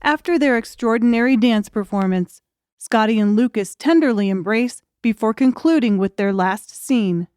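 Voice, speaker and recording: A woman's voice: fairly high pitch, expressive, normal speed, darkish tone, clear, resonant tone, no room sound, studio quality. A woman reading a scripted audiobook story as a dramatic narrator. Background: music.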